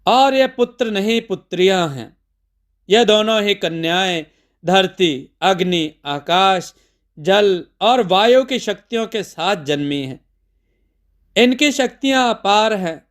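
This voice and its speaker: A man voicing a serene, reassuring divine woman in a mythological story, speaking calmly and solemnly. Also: sits high, slow.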